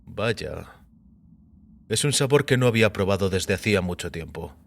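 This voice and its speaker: A man voicing a mysterious, composed and quietly assured visitor in audio fiction, speaking in a measured, calm way. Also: deep voice, creaky, exaggerated voice. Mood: calm, reflective.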